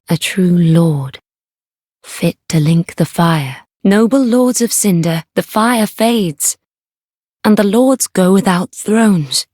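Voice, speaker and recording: A solemn young keeper of a sacred fire for a dark fantasy game. Her female voice is soft and fairly low, recorded dry and close. She delivers archaic, reverent lines at a slow, measured pace with quiet devotion. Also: dry recording. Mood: solemn, ritual. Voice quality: soft, breathy. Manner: hushed, measured, formal, reverent.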